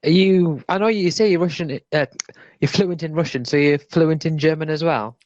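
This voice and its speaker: A man's voice: fairly high pitch, normal speed, darkish tone, no room sound. English guy, hesitant questioner, dull dry remote audio. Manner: hesitant, asks questions with restarts. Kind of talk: casual chat.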